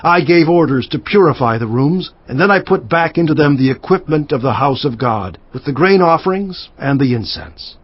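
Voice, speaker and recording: Man acting a solemn, higher-voiced ancient governor. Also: animated, slightly rough, dry recording. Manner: solemn, emphatic, measured phrasing. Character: stern, devout male governor of ancient Judah recounting his reforms. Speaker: audiobook narrator. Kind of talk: dramatized scripture narration. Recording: slightly muffled, music underneath. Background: background music.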